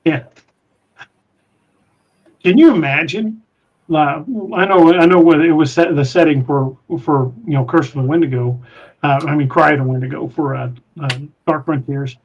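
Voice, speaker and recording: Give me a man with a twang and a slightly high voice, hosting a podcast and rambling through listener comments with lots of restarts. Dull, lo-fi recording. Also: moderate pace. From Missouri, USA. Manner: rambling, hesitant with many restarts. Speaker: podcast host. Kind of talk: casual live-stream chat reading comments.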